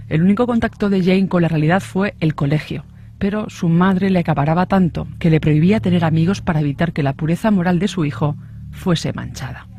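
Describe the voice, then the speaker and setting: A man's voice: a little high, darkish tone. A man reading a scripted true-crime narration in the style of a suspense radio story.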